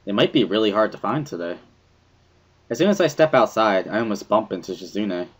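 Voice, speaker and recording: Low-voiced man narrating, expressive range. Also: fairly quick. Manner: introspective, storytelling. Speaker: dramatic reader of a visual novel. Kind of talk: first-person scripted narration.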